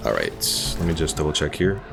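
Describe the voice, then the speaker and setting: A man's voice: very deep voice, very animated, fairly quick, vocal fry. A young man casually commentating a strategy game stream, thinking aloud and explaining the mechanics as he plays. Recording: game music in background.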